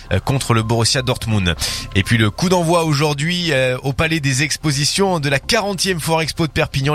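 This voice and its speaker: A man reading a morning radio news bulletin in a studio, steady with the odd hesitation. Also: medium pitch. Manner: steady read with occasional hesitations. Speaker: radio newsreader. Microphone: studio microphone.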